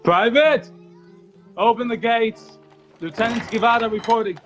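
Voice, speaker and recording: An actor playing a grave, honorable lieutenant in a radio drama, speaking in a solemn, measured storytelling way. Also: male voice, very high-pitched, faint music behind. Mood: commanding.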